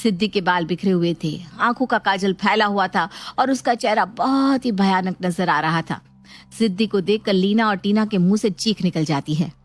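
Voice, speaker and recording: Mid-pitched woman storyteller. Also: normal speed. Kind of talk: scripted story narration.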